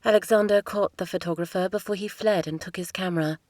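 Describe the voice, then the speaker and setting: A woman voicing a proud young princess who speaks in a crisp, formal way. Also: mid-range voice, steady tone, fast, a little mellow, no room sound, close to an everyday voice. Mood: reserved.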